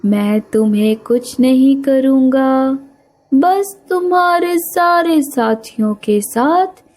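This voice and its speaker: A woman voicing a menacing male predator bird in an animated children's story, falsely coaxing and gloating, with music behind. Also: high-pitched, exaggerated voice.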